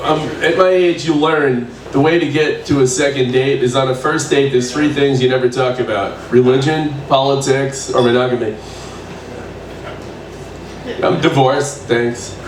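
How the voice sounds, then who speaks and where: A man in his sixties doing a stand-up set at an open mic, delivering dry, deadpan one-liners. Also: medium pitch. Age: 60s. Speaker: stand-up comedian. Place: open mic comedy venue. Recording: echoey room, distant mic, background noise.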